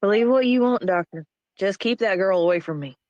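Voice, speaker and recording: Woman playing a spooked superstitious nurse, wary and insistent, mid pitch, very dry audio. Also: fairly quick, a little mellow, no echo, lo-fi sound, close to an everyday voice. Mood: insistent, uneasy. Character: uneasy, superstitious, insistent female hospital nurse. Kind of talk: acted table read of a screenplay.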